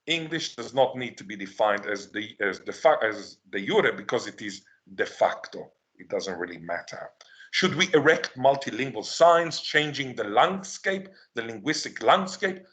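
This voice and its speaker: Emphatic male lecturer, slowing for stress. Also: medium pitch, fairly bright tone. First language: Hebrew. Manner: emphatic, rhetorical questions answered himself, slows to stress key words. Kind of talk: academic lecture.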